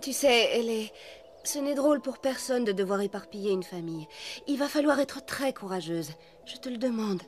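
A woman's voice: a little high, animated, normal speed, faint music behind, close to an everyday voice. A woman voicing a tender, worried mother in a French cartoon dub, soothing and comforting.

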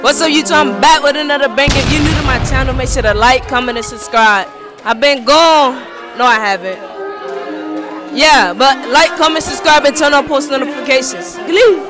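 A woman's voice: high-pitched, moderate pace, over music, crowd noise. A teenage girl vlogger interviewing people in an outdoor school courtyard, with teasing, brash banter and a handheld mic. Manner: teasing, brash, laughs often. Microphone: handheld lavalier mic held out to guests.

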